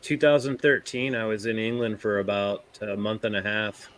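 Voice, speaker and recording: A man's voice: lowish voice, moderate pace, fairly bright tone, a little hiss or hum. A man with an American accent in casual conversation on a gaming panel. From United States. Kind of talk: casual chat.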